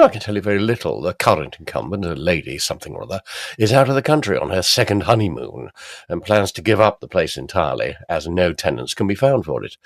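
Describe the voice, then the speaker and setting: A man playing a presumptuous gentleman house agent, formal and suave, in a period audio drama. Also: low-pitched, no room sound, studio quality, exaggerated voice.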